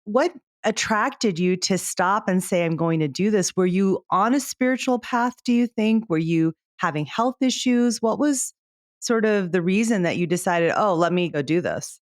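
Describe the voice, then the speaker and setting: A woman's voice: mid-range voice, lively intonation, moderate pace, no echo, clean studio sound. A woman hosting a podcast interview, effusive and enthusiastic toward her guest. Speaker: podcast host, doctor.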